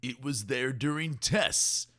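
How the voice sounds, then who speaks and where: Male storyteller for children's read-aloud. Medium pitch, unhurried pace, expressive rhyming narration. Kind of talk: rhyming scripted narration for kids.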